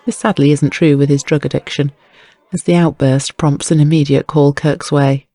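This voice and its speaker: Guy reading a crime audiobook, lots of fry, mellow tone, wry storytelling. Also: fairly high pitch, flat delivery, normal speed, no room sound, some chatter behind. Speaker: audiobook narrator. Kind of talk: scripted crime fiction narration.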